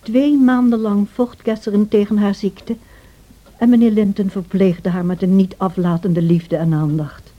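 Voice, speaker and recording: An actress playing a devoted, troubled housekeeper who tells a tragic story in a radio drama, in a measured, confiding way. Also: female voice, medium pitch, steady tone, natural voice. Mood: sombre storytelling. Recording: dull old radio play recording.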